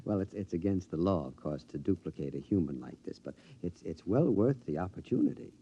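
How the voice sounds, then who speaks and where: An actor playing a middle-aged henpecked husband, excitable and stammering with giggles, in a 1950s radio drama. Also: male voice, deep voice, fairly quick, breathy edge, highs cut off, full character voice. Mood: pleased.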